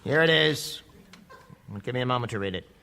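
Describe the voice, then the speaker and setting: An actor playing a male judge with dry, measured authority in an audio drama. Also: mid-range voice, lively intonation, creaky, sharp tone, slight background noise. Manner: measured, dryly amused courtroom authority.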